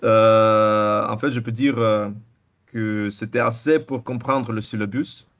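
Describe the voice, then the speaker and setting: A young man with a Russian accent, speaking French as a second language. His low voice moves in halting bursts, full of restarts and repeated words, as he answers in a relaxed interview. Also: highs cut off. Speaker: interviewee, former student. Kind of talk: casual testimonial interview.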